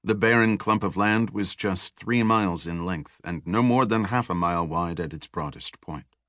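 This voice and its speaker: Man, low voice, dry close sound, measured audiobook narration, deliberate storytelling. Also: a bit bright, no room sound. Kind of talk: scripted thriller narration.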